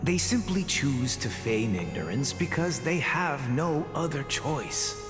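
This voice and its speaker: A man voicing a patient teacher in a video game, didactic and theatrical, gently admonishing. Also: mid-range voice, animated, slow pace. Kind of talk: scripted video game voice acting. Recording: echoey hall reverb under music.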